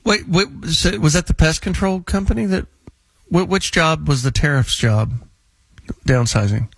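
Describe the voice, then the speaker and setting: A man's voice: fairly high pitch, normal speed, creaky, slightly rough, dry recording. A man hosting a call-in radio show, blunt and teasing as he gives callers direct advice. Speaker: radio talk show host.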